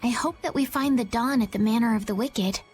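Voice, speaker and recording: An actress playing a gentle, worried young heroine in a video game, speaking politely and earnestly. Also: female voice, a little high, brisk, slightly rough. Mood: anxious. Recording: music under the voice.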